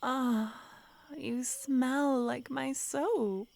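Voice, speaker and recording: Woman doing a possessive flirty witch, whispered ASMR, very slow delivery, teasing tone. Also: high voice, fairly even intonation, gravelly, slight background noise, pitched above the performer's own voice, heavily acted. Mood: intimate. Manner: soft, teasing, often whispered. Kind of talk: ASMR roleplay.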